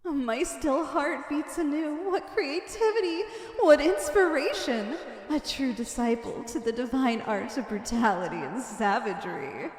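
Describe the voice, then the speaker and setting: Villainess, played by a woman, fairly high voice, wicked and obsessively devoted, ornate theatrical monologue for ASMR roleplay, reverberant hall sound. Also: expressive, unhurried, few pauses. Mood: rapturous. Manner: grandiose, ornate, fervent delivery. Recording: echoey, long reverberant hall effect.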